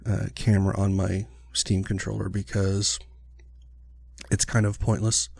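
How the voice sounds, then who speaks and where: Gravelly-voiced man, getting over a cold. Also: lowish voice, unhurried, no room sound. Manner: rambling, filler-heavy, relaxed. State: recovering from illness, sniffly, clearing his throat. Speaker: gaming channel host. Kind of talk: casual let's-play commentary.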